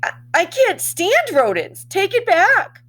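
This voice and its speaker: A woman's voice: very high voice, huge pitch swings, slow, fairly bright tone, slight background noise, voice pushed up, full character voice, rough recording. A woman voicing a stern storybook schoolteacher, curt and full of disgust. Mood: horrified. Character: stern woman schoolteacher who loathes rodents, horrified and curt.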